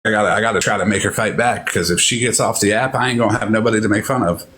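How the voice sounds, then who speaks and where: A man's voice: lowish voice, fairly even intonation, very fast, a bit bright. A man talking casually on a livestream panel, blunt and given to swearing. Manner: blunt, profane. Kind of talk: casual gossip chat. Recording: clearly noisy background ambience.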